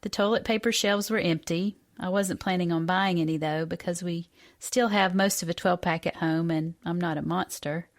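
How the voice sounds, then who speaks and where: A woman's voice: on the low side, fairly even intonation, moderate pace. A woman in her fifties from the United States reading a personal essay aloud as a scripted narration. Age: 50s. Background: music.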